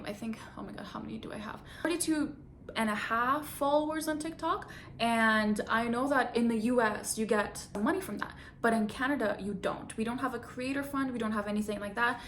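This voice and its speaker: A woman's voice: a little high, slight background noise. Chatty young woman from Bulgaria. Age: 20s. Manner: chatty, laughs easily, self-conscious asides. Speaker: vlogger. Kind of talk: casual Q and A vlog.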